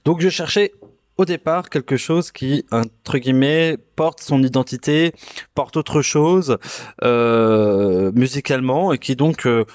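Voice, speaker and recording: A man's voice: mid-range voice, takes it slowly, no room sound, studio quality. Hesitant man hosting a casual podcast. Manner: hesitant, full of filler sounds and restarts; relaxed, self-mocking. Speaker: podcast host. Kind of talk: casual solo podcast chat about music.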